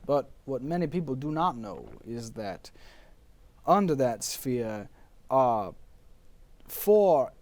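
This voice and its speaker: A man playing a pompous, bemused dead nineteenth-century writer brought back for a comedy talk show, speaking haltingly in wordy, old-fashioned diction. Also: mid-range voice, very animated, a little mellow. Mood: pompous, curious.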